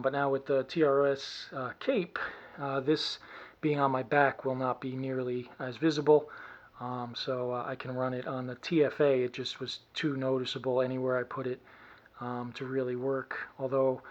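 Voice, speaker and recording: Monotone man giving a casual gear demo. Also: mid-range voice. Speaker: costume hobbyist demonstrating gear. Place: small room indoors. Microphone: camera mic from a short distance. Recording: dull camera mic in small room.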